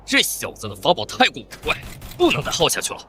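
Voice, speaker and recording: A man voicing an arrogant, wrathful old cultivator, pompous and menacing, in a fantasy animated comic. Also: a little high, fast talker, slight background noise, heavily acted. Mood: alarmed. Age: older.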